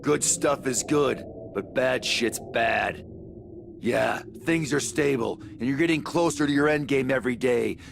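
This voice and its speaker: Gravelly, jaded warrior. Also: male voice, mid-range voice, unhurried, noisy background. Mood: jaded, blunt. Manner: blunt, slangy, grumbling. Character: gruff, jaded male warrior, cynical and blunt. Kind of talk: English video game voice acting. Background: music.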